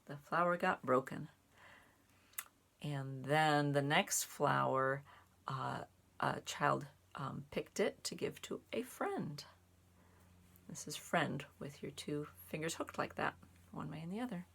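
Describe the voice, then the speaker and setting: A woman with a low, noticeably creaky voice works as a storyteller and teacher. She explains things simply, in the manner of someone talking to young children. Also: slow, pauses often, fairly bright tone. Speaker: storyteller and sign language teacher. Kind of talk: picture-book read-aloud and casual sign language teaching.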